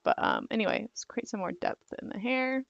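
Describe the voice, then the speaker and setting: Croaky young woman losing her voice. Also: a little high, huge pitch swings, a little fast, no room sound, muffled recording. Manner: rambling, filler-heavy, apologetic. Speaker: digital art tutorial host. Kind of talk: casual drawing tutorial.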